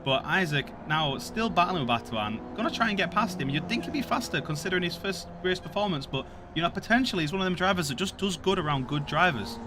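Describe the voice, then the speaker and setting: A man with a Yorkshire accent calls a sim race with a slightly raised pitch, joking as he goes. There is clear background noise behind his voice. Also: quick pace, hardly any pauses. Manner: excitable, laughs and shouts at crashes. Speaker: race commentator. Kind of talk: sim racing commentary.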